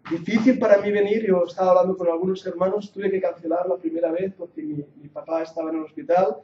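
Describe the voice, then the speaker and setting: Catalan guy in his 40s teaching a bible class, kinda high voice, jokey then preachy, muffled echoey hall. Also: steady tone, quick pace, warm tone. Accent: Catalan Spanish mixed with English. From Catalonia, Spain. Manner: earnest and jokey, rising to emphatic preaching. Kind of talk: classroom lecture, sermon-like. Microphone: handheld or lapel mic.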